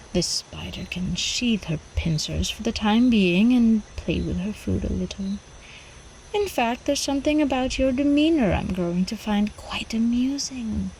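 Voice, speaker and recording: A woman voicing an ancient, seductive vampire countess in an intimate ASMR roleplay, teasing and cooing with quiet menace, often slipping into whispers. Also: medium pitch, a little slow, slight background noise, natural voice, low-quality recording. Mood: hungry, amused.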